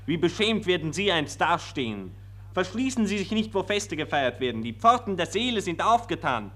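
An actor playing an earnest, pompous patriotic optimist, declaiming formally in a 1940s radio play. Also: male voice, high voice, very animated, moderate pace, sharp tone, full character voice. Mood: reproachful, exalted.

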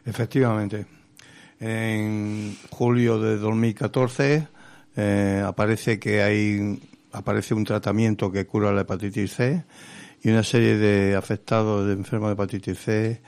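A Spanish-accented man speaks as a guest activist on the radio. His voice is lowish and creaky, recorded very dry. He explains things earnestly and step by step, pausing and hesitating as he goes. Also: little pitch movement, a little mellow, dry recording. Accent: Spain. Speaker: interview guest, patients' association vice president and activist. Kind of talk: explanatory account in a radio interview. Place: radio studio.

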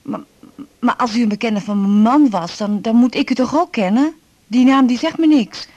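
Actress doing suspicious wife in a radio play, highish voice, halting, dry muffled recording. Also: female voice, a little mellow, no room sound, lightly acted. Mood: wary, flustered. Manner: halting, guarded. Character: wary, flustered wife answering a stranger's phone call. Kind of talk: naturalistic radio drama dialogue.

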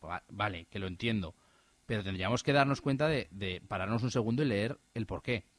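A man telling an animated, indignant personal story in casual radio chat, with many restarts. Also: fairly low pitch, brisk, dry recording. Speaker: radio host.

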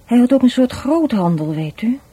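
A woman's voice: a little high, sing-song, normal speed, dark tone, slightly rough. An actress playing a shy, guarded, educated woman in a radio drama, speaking hesitantly with a Dutch accent. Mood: reserved, guarded. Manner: hesitant, repeats words, evasive. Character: shy, guarded, educated sister of a dead man.

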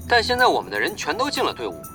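A man playing a scheming, excitable rival guild spy in an audio drama. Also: on the high side, racing, over music.

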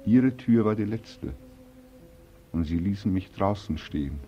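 A young soldier home from war, in 1940s radio-play style. His voice is very low and dark, slow and brooding, with bitter accusation and sardonic despair. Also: expressive, highs cut off, slight background noise. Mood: accusing, sardonic. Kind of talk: 1940s radio drama acting.

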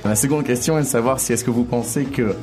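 Host, male, quick talker, mid-pitched, music playing behind. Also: darkish tone. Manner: brisk, asks questions. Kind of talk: hosting a discussion.

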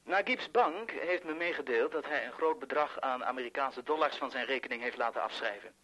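Shrewd, bright-voiced official played by a man. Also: mid-range voice, a little fast, lightly acted, rough recording. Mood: sly, official. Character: shrewd, urbane male police official, fond of food. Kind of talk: 1970s Dutch radio drama acting.

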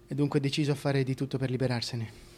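Halting, cautious royal adviser, acted by a man. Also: medium pitch, flat delivery, mellow, slight background noise, close to an everyday voice. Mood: cautious, probing. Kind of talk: theatrical dialogue.

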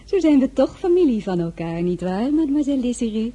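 An actress playing a charming, coquettish, evasive society hostess in a radio drama, with a hesitant manner. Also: female voice, a little high, big pitch swings, fairly quick, mellow, husky. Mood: airy, covering up.

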